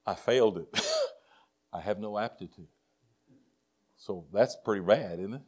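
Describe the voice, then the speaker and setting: A man's voice: on the low side, measured pace, a little mellow. An older man with a Texas drawl preaches to a congregation, weaving folksy personal stories into his sermon. He talks earnestly, often restarting and repeating words as he goes. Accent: Texan. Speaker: missionary preacher.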